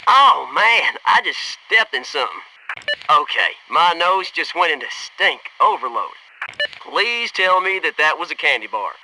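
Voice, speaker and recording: A man's voice: a little high, noisy background, lightly acted. A man voicing a brash, folksy special-forces soldier in a military shooter game, sarcastic and punchy in his delivery. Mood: wry, joking. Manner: punchy, sarcastic wisecracks and urgent squad callouts.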